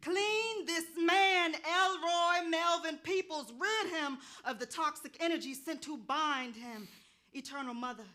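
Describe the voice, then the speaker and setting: Female healer for stage drama, actress-played: high-pitched, commanding, folksy, devout. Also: measured pace, sharp tone, heavily acted. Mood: prayerful, fervent. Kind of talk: staged reading of a play.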